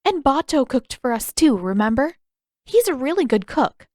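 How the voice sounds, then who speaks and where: A woman voicing an exasperated, sharp-tongued fourteen-year-old girl in an audiobook, challenging and sarcastic. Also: high voice, sing-song, normal speed, no echo, clean studio sound, pitched above the performer's own voice. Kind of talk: audiobook character voice.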